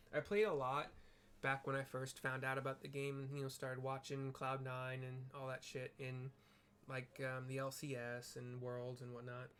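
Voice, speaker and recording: Casting note: laid-back man, mid-pitched, rambling gamer talk full of fillers, home desk mic. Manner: rambling, filler-heavy, self-deprecating. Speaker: game streamer narrating for blind viewers. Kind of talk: casual gameplay chat and description.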